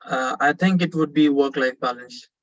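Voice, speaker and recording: A man's voice: mid-range voice, moderate pace, dull audio. A man in his twenties from Pakistan, asking an earnest, polite question on a webinar call with frequent fillers.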